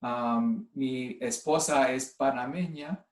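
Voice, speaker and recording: Man with a mixed puerto rican-ish spanish accent, 50s, lots of restarts, middle pitch, echoey room at home. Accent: mixed Spanish with a Puerto Rican base. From United States. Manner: halting, with many restarts and stray English words. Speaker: nurse and clinical informatics specialist. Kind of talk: online conference presentation.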